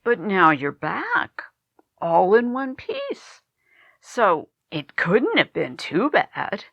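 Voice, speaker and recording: An actress plays a guarded, worn-out woman in a sci-fi radio play. She speaks slowly, with lively rises and falls and some gravel in the voice. The recording is close and dry. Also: mid-range voice, no room sound, highs cut off, exaggerated voice. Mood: strained, insistent. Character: tense, weary woman hosting guests in a dangerous world. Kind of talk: audio drama acting.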